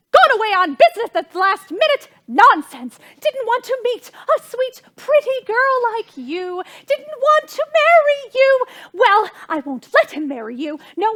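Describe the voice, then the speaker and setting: Woman acting a very high-pitched, melodramatic society hostess. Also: pushed and bright, full character voice. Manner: melodramatic, exclamatory, repeats phrases. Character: excitable, overwrought society hostess and mother. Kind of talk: theatrical stage comedy acting.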